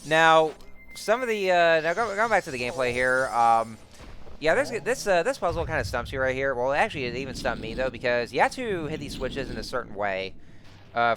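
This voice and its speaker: A man's voice: medium pitch, a bit bright. A young man giving casual gameplay commentary, rambling with lots of fillers and restarts. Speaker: let's play commentator. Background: game music.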